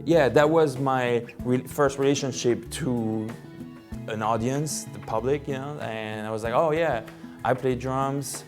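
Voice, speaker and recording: A man's voice: medium pitch, a little slow, over music. A French-accented man in his forties, a film director telling his story at home in a casual, rambling way with many fillers and restarts. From France. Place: living room of his home.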